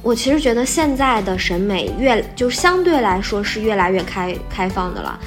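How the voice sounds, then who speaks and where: A woman with a bright, sweet voice, explaining things step by step in a casual podcast interview as a voice actor guest. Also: mid-range voice, sing-song, fairly quick, soft background music.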